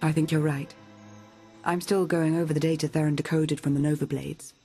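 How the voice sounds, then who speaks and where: A woman playing a calm, studious Jedi ally in a video game, soft-spoken and reserved. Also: low-pitched, brisk, slightly rough, faint music behind. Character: calm, studious Jedi mentor declining adventure. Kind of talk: video game cutscene acting.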